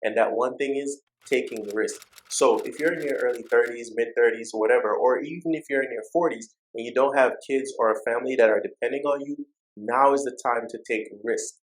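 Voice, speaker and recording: A man with a Jamaican accent talks to camera about personal finance, and his voice sits at an ordinary mid pitch. He presses his points in a direct, exhorting way and stacks repeated phrases to drive each one home. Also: a little fast, poor audio. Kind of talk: motivational talk to camera.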